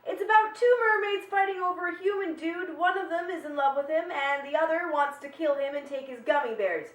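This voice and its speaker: An excitable woman's bright, very high voice bouncing off the walls of a room, as on a homemade online review show. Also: a little fast. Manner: enthusiastic, chatty. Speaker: animation vlog host reviewing short films. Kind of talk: upbeat vlog review commentary. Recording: echoey room, distant from mic.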